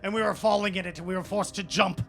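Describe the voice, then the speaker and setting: A man's voice: high-pitched, steady tone, fast talker, faint music behind. A man voicing a decrepit, revived old politician in a tabletop game, fawning and blubbering, with a stammering, apologetic manner. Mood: distraught, apologetic.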